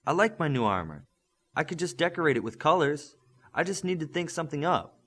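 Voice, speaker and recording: A man voicing an eager young male superhero trainee in an audiobook, earnest and excitable. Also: a little high, brisk, husky, voice pushed up, full character voice. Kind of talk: audiobook character acting.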